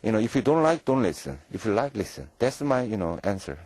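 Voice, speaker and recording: Korean accent guy, low mellow voice, lots of uhs, dry sound. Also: big pitch swings, no room sound. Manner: halting English with many fillers. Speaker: conductor. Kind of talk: radio interview guest.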